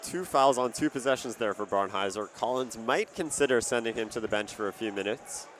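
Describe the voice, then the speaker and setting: A man's voice: mid-range voice, brisk, faint music behind. A young man giving colour commentary and analysis on a live basketball broadcast. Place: courtside in a basketball arena.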